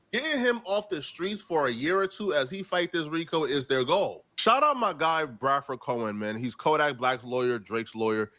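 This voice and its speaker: A man's voice: a little high, fairly bright tone. A man giving casual, opinionated news commentary in a slangy, conversational, incredulous manner.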